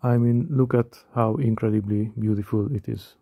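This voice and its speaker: A man in his thirties from Hungary with a Hungarian accent, calmly narrating a scripted voice-over about watches. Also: lowish voice, normal speed, vocal fry, no echo, phone-quality sound. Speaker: watch collector.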